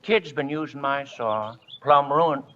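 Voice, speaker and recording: A man's voice: medium pitch, unhurried, fairly bright tone, slight background noise, close to an everyday voice. A man playing a folksy, shameless rural American neighbor in an old-time radio comedy, drawling and hemming and hawing. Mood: casually cadging. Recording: dull, lo-fi old radio recording.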